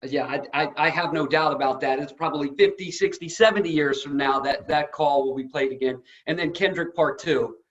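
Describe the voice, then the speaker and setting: A man's voice: fairly high pitch, brisk, hardly any pauses, fairly bright tone. A man with an American accent hosting a casual interview, effusive and admiring in how he talks. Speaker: interview host. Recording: dull, lo-fi audio.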